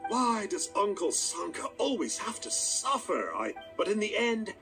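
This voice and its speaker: A melodramatic, animated trickster uncle. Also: male voice, sits high, a little slow, music in the background, heavily acted. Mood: self-pitying. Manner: melodramatic, wheedling, playful. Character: theatrical self-pitying uncle, a sly storytelling trickster. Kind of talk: animated video game voice acting.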